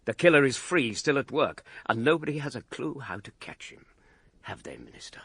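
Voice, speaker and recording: A man's voice: medium pitch, sing-song, fairly quick, creaky, a bit bright, breathy edge, full character voice. A British man playing an aggressive, sardonic political interviewer in a radio drama, confrontational and incredulous. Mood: combative. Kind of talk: BBC radio drama acting.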